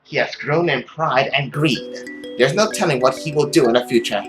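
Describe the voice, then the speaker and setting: A man voicing a stern, possessive middle-aged mother in a dramatic, deliberate, scolding manner. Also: mid-range voice, over music, close to an everyday voice, low-quality recording. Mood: grim.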